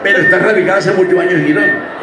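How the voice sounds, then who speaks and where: A high-voiced man emcees a live show, shouting and joking into a handheld microphone. His voice booms through the PA and bounces around a big hall. A rowdy crowd and heavy noise fill the phone recording. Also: fairly even intonation, fast. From Colombia. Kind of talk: live stage announcing. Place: large indoor dance hall at a concert. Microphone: handheld mic through a PA, filmed by a phone in the crowd. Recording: booming PA echo in a loud, noisy hall.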